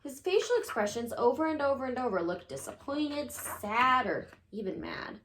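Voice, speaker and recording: A woman's voice: a little high, lively intonation, normal speed, fairly bright tone, slight background noise, poor audio. A woman reading a picture book aloud to children, with dramatic emphasis on key words.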